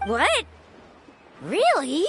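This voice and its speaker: A woman's voice: sits high, huge pitch swings, very slow, loud music behind the voice, exaggerated voice. A woman voicing a small, sensitive, loyal child in a video game, speaking with earnest emphasis. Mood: surprised.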